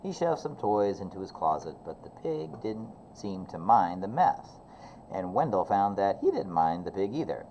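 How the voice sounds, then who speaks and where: A man with a low, soft-edged voice reads a picture book aloud as a teacher would. His delivery rises and falls a great deal. The sound is a bit dull, with faint noise behind it. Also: sing-song, moderate pace. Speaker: elementary teacher reading aloud. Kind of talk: expressive children's storybook narration.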